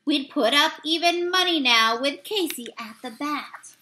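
A woman with a high, bright voice reads verse aloud from a picture book. Her delivery is halting, with the odd misreading that she goes back to fix. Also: animated, a little hiss or hum. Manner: halting, with misreadings and self-corrections. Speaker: read-aloud reader. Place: small indoor room.

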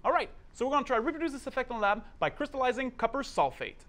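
A man's voice: very high-pitched, big pitch swings, very fast, music in the background. A middle-aged man hosting a casual, explanatory science demonstration in an indoor lab, speaking informally as he works. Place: indoor school chemistry lab.

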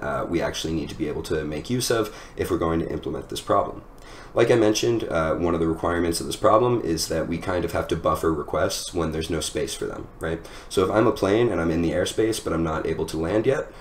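A young man in his twenties giving a casual technical explanation as a teacher, recorded in a room at home. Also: sits low. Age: 20s. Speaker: software engineer teaching a design lesson. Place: small room at home, indoors. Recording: echoey room with background noise.

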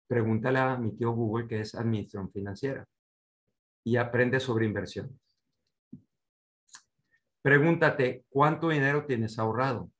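Older man, medium pitch, finance coach, instructive, direct, short commands, rhetorical questions, online seminar on a computer mic. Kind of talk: online seminar teaching with slides. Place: indoor room at home, on a video call.

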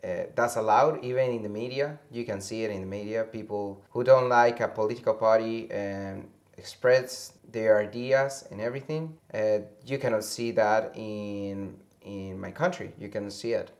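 Low-voiced young Venezuelan man, halting. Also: steady tone. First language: Spanish. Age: young adult. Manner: halting, searching for words. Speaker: interview guest. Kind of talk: conversational interview answers. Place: indoor room with a fireplace, seated. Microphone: lapel mic.

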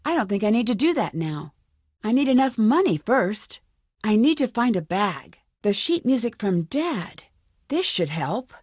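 A woman playing a plucky, quick-witted young female detective in a video game, thinking aloud in clearly spoken one-liners. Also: medium pitch, big pitch swings, a little mellow, dry recording, studio quality. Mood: focused, musing. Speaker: game protagonist.

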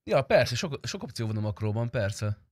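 A man's voice: medium pitch, big pitch swings, darkish tone, no echo. Dry-sounding casual man streaming. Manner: informal, slangy, swearing. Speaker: game streamer. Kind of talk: casual livestream chat.

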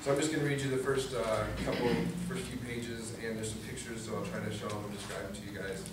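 A man's voice: on the low side, little pitch movement, fast. A young man reading a children's book aloud to an audience in a meeting room, picked up from a distance. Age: young adult. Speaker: guest reader, student government vice president. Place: medium indoor meeting room at a library. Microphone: distant camera facing a podium. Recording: echoey room from far off, noisy.

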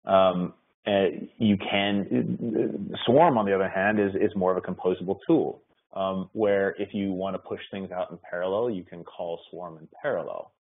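A man presenting benchmark results at an online meetup, talking in a halting way with many fillers and restarts. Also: deep voice, steady tone. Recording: tinny phone-like web call audio.

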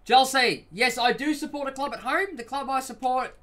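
Excitable man streaming a game. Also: very high-pitched, normal speed. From Australia. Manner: excitable, swears, loud outbursts at the game. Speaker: streamer playing a football video game. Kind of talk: live stream commentary and chat. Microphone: headset.

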